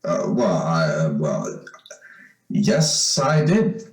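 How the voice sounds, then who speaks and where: Man playing an eager young man, swinging pitch. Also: big pitch swings, very slow, heavily acted. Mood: flustered. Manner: folksy boyish slang, hesitant. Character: eager, uneasy young man back home, telling his story. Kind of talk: old-time radio drama acting with first-person narration. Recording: roomy echo, music behind.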